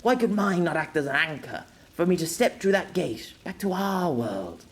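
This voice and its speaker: Storybook knight, voiced by a male narrator: middle-aged, devoted, pleading, raised pitch, very animated. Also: normal speed. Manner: impassioned, pleading.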